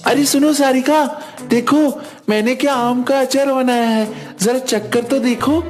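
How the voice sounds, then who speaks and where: A man's voice: very high voice, fairly even intonation, unhurried, a little mellow, faint music behind. A man voicing an earnest, complaining young schoolboy in a cartoon. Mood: eager. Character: tattling, teasing young schoolboys and a gentle food-loving boy. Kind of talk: Hindi cartoon dialogue.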